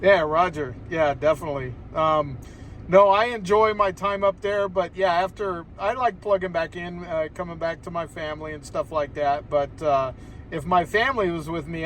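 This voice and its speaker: A man's voice: a little high, fairly bright tone. American man on ham radio, distant mic. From Southern California, United States. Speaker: amateur radio operator. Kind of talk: casual radio conversation. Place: inside a car. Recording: distant mic in car, faint noise.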